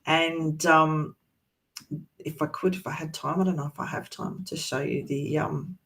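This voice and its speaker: A teacher from Australia's east coast, presenting a teaching resource in a relaxed, chatty way with many pauses and restarts. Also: mid-range voice. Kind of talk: informal presentation to educators.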